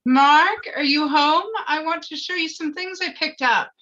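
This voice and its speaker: Woman playing an anxious, high-voiced wife. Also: unhurried, pushed and bright, lo-fi sound. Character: anxious, earnest wife longing to be a mother. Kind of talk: live script table read.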